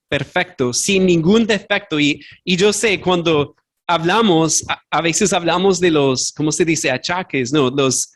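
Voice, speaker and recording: A male pastor preaching a sermon in a church, his voice sitting high for a man. He is earnest and direct. Spanish is not his first language, so he sometimes stops to hunt for a word and fixes his own slips aloud. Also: a bit bright. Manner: earnest, searches for words and corrects himself aloud. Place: church sanctuary.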